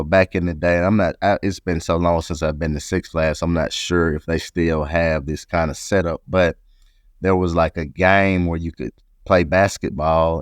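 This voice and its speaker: A man's voice: low-pitched, clean tone, no echo, clean studio sound. A man from Atlanta, Georgia, speaking as an interview guest and reflectively telling his own story. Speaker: interview guest, company president.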